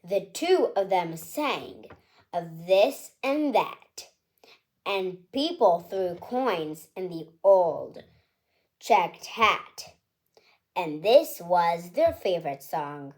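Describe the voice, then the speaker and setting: A woman's voice: fairly low pitch, sing-song, drawn-out words, a little mellow. A woman hosting her own channel, reading a picture book aloud to children in a cheerful, chatty, singsong storytelling way.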